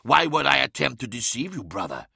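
Man acting a mocking, gravelly monster. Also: mid-range voice, very animated, pushed and bright, dry recording, studio quality, heavily acted. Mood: gloating menace. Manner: taunting, prophetic, drawn-out words. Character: monstrous male void predator, mocking, gloating tempter. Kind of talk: dramatic audiobook character acting.

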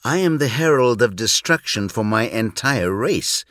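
A man's voice: medium pitch, lively intonation, unhurried, fairly bright tone, no echo, studio quality. A man voicing a weary, sardonic, long-lived human in an audiobook, with a bitter, sarcastic edge. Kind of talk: audiobook reading.

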